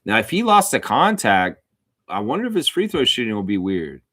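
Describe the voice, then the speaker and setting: Man, low voice, live stream host, casual basketball play-by-play, chatty informal asides. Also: wildly swooping pitch, normal speed, fairly bright tone. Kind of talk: casual basketball watchalong commentary.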